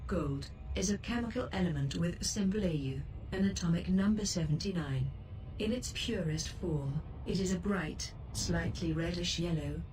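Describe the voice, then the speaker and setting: A woman voicing a calm, formal spaceship computer assistant in a game, giving measured, informative announcements. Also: fairly low pitch, far from the mic, natural voice, rough recording.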